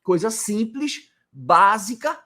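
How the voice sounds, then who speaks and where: A man's voice: high voice, very animated, slow pace, sharp tone. A man with a Brazilian Portuguese accent who teaches informally to camera from indoors in a rental house, explaining a point and then answering his own rhetorical questions. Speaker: hospitality business coach.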